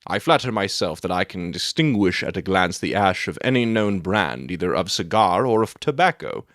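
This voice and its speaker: A man performs a brilliant male detective for a dramatic audiobook. The voice sits low and swings widely in pitch as he lectures with precise, proud self-assurance, recorded dry and close. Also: animated, moderate pace, fairly bright tone, no echo. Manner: lecturing, matter-of-fact, self-satisfied.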